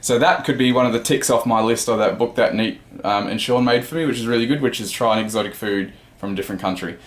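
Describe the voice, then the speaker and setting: A man casually narrating his travel vlog into a handheld camera, relaxed and chatty, moving between buses, a ferry and a small room. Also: mid-range voice, fast talker. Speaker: vlogger. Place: on the move: bus, ferry, small hotel room. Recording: busy crowd and noise behind.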